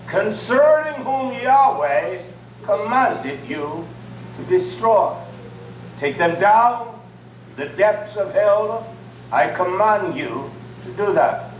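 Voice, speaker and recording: A man's voice: high voice, animated, slow pace, long pauses. A man preaching a sermon in short, emphatic phrases. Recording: echoey room from far off with faint noise.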